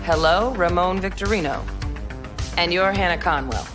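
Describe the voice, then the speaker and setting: An actress playing a brisk, confident hacker in a video game, briefing in a matter-of-fact way. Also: female voice, on the low side, over music, natural voice. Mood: brisk, businesslike. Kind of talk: dramatic video game acting.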